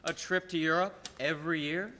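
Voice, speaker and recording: Casting: condescending, domineering businessman acted by a young man, highish forceful voice, radio-play delivery. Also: fairly even intonation. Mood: coaxing. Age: young adult. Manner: patronizing, persuasive, deliberate emphasis. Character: scheming rich businessman, condescending, smooth-talking and domineering. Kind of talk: filmed radio play acting. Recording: echoey hall, background noise.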